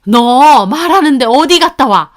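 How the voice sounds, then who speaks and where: Woman acting a high-pitched, scolding mother-in-law. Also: animated, unhurried, fairly bright tone. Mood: outraged. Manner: harsh, haughty, demanding. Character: scolding, domineering older mother-in-law, indignant and shrill.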